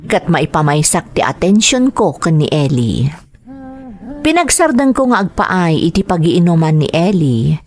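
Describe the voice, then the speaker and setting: A woman's voice: deep voice, darkish tone, dry recording. A woman narrating a dramatic first-person story for an audio drama.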